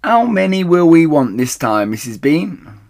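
A man voicing a huge, grumbling servant woman in a children's storybook, calling out loudly up the stairs. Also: fairly high pitch, sing-song, a little slow, gravelly, voice pushed up, full character voice. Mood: loud, asking.

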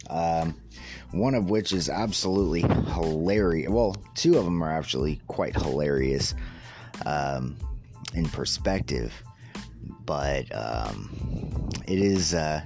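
A man hosting a casual solo podcast about news stories, with a slightly raspy voice and a halting, amused way of talking. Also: low voice. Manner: halting, pausing mid-sentence; amused, chuckling as he talks. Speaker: podcast host.

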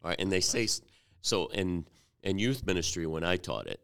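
A man from Cleveland hosting a casual church podcast interview, joking and cutting in with quick affirmations. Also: low voice, animated, creaky, fairly bright tone, clean tone. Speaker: podcast host.

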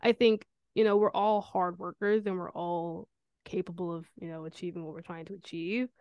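Young woman co-host, smooth clear voice, dry close sound, reflective. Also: lowish voice, fairly even intonation, moderate pace, no echo, dull audio. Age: 20s. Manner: reflective, many fillers, asks the other host questions. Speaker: podcast co-host. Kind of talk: casual chat.

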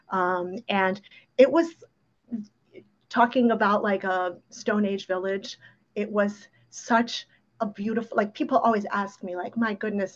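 Dull-sounding recording of an American woman storytelling. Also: medium pitch. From New York City, USA. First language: Ukrainian. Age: 50s. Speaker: podcast guest. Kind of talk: casual personal storytelling.

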